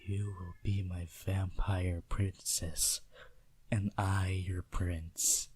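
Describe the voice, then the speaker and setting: A man playing a possessive, seductive vampire prince in a teasing, intimate ASMR roleplay with quiet menace. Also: low voice, little pitch movement, drawn-out words, slightly rough, dry recording, heavily acted.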